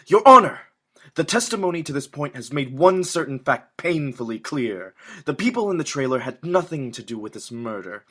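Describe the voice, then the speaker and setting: A man's voice: mid-range voice, pushed and bright, husky, full character voice. A man playing a smug, condescending young prosecutor in an amateur courtroom game fan dub, with haughty, dramatic, precise delivery. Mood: indignant.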